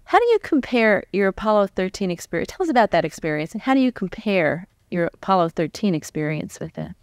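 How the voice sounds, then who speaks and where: Clear-voiced American woman interviewer. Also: mid-range voice, big pitch swings, fast talker, no room sound, clean studio sound. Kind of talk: conversational on-stage interview. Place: on stage before a live audience. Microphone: large foam-covered microphone on a stand, close.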